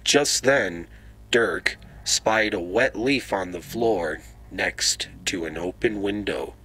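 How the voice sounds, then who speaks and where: A man narrating a children's story in radio drama style, deliberately and dramatically. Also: low-pitched, sharp tone, breathy edge, no room sound. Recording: noisy ambient sound effects behind.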